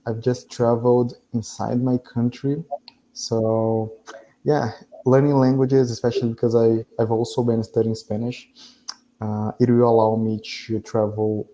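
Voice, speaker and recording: A man from Brazil whose first language is Portuguese, talking with his tutor over a video call. He is earnest and agreeable, with thoughtful pauses. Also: mid-range voice, level tone, warm tone, low-quality recording. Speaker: language student. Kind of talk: casual conversation lesson.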